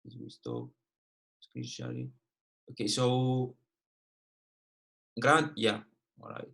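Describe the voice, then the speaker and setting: A man's voice: mid-range voice, sing-song, slow, creaky, fairly bright tone. Young Greek Cypriot man, casual and direct. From Cyprus. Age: 20s. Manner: casual and direct, peppers speech with "okay" and "all right". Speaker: guest trading educator. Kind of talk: live online trading lesson.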